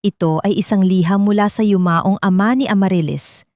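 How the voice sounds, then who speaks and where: A woman's voice: mid-range voice, moderate pace, darkish tone, no echo, studio quality. A woman narrator reading a scripted audio drama in a dramatic storytelling style.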